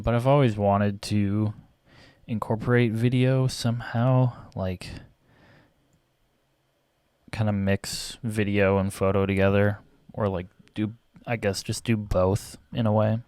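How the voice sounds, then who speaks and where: Young male vlogger, low voice, slightly raspy, halting casual ramble, dry close desk mic. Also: darkish tone, dry recording. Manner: hesitant, many fillers and restarts, clears throat often. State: voice getting raspy. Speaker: photographer and vlogger. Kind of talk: casual rambling commentary while photo editing.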